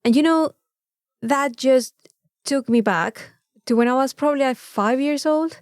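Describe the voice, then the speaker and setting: Woman podcaster, higher voice, close dry sound, telling stories all animated, swears a bit. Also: a little slow, dry recording. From Mexico. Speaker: podcast co-host. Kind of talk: casual chat.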